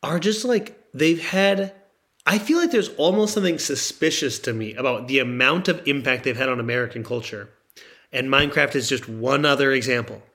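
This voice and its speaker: A young man co-hosting a loose, jokey podcast, his sentences wandering and often breaking off before they land. He talks into a handheld microphone at a middling pitch. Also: moderate pace, a bit bright. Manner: rambling, joking, trails off mid-thought. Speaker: podcast co-host. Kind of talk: casual comedic chat. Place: in France, apart from co-host.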